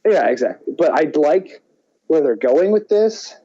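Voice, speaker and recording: A man co-hosting a podcast in a casual, halting film chat, often restarting his thoughts. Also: on the high side, big pitch swings, warm tone, dull audio. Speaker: podcast co-host.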